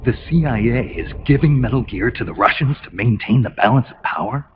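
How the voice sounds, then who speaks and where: An actor playing a gruff, defiant captive soldier hero in a dramatic video game scene. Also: male voice, medium pitch, expressive, fairly quick, close to an everyday voice. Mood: disbelieving. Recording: muffled compressed game audio under loud music.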